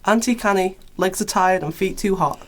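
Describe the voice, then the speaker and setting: A woman's voice: medium pitch, moderate pace. A woman reading poetic scripted narration for a radio play.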